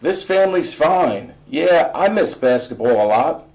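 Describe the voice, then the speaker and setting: A man's voice: a little high, animated, unhurried, darkish tone, pitched above the performer's own voice, low-quality recording. Male reader as boastful ex-athlete father, affectionate and emphatic, storybook banter. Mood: reassuring, firm. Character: proud, teasing, loving father and former basketball star.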